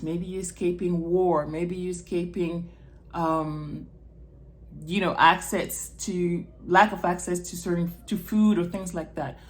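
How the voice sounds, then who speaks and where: A woman from Morocco speaking off the cuff to camera as a video blogger, explaining things and checking in with the listener. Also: lowish voice. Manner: explanatory, checks in with "okay?" and "right?". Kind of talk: unrehearsed personal talk to camera.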